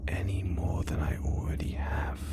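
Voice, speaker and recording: A man's voice: steady tone, moderate pace. A young man playing a possessive, theatrical supervillain in a whispered audio roleplay, speaking in an intimate, teasing way. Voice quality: low gravelly whisper.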